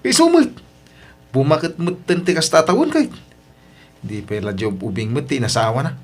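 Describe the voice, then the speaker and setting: A man's voice: fairly high pitch, animated, normal speed, soft background music. A man with an Ilocano accent acting a brash, scoffing, gossipy husband in a family radio drama, exclaiming mockingly.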